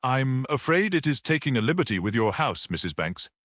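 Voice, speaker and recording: A small priest voiced by a male narrator from an audiobook mystery, grave and courteous. He speaks in a measured, reassuring way with lively rises and falls, recorded very dry. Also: medium pitch, no room sound, voice pushed up.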